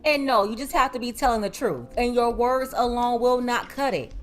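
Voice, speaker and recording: A woman delivers sarcastic online commentary with a fairly high voice. Her tone is mocking throughout. Faint music runs beneath her. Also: moderate pace.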